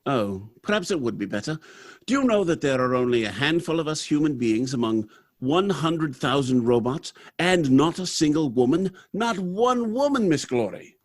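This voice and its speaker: A man's voice: fairly high pitch, big pitch swings, normal speed. An actor playing a proud, unsentimental robot factory manager in an old-time radio drama, theatrical and confident as he explains things. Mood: breezy, boastful. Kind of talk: live recreation of 1930s radio drama.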